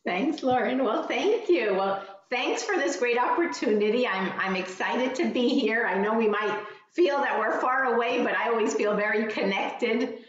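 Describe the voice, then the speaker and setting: A woman's voice: fairly high pitch, moderate pace, hardly any pauses. A woman from Argentina, a dietitian, chatting casually over a video call from her home kitchen, often restarting her words. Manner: warm, chatty, with frequent restarts and light laughs. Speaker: dietitian leading a cooking class. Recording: very echoey, distant, dull video-call audio.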